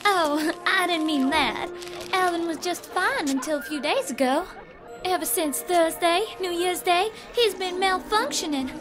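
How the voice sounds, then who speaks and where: A woman's voice: very high voice, measured pace, music in the background. A woman playing a polite, chatty, fretful theater clerk in a science fiction audio drama.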